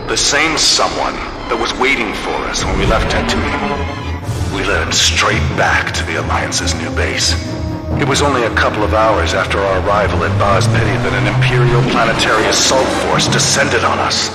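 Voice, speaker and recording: An actor playing a battle-hardened rebel squadron commander, narrating war missions in a grim, measured, resolute way for a video game. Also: male voice, fairly low pitch, natural voice. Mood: grim, determined. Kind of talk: dramatic scripted game cutscene narration. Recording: echoey hall reverb under loud music.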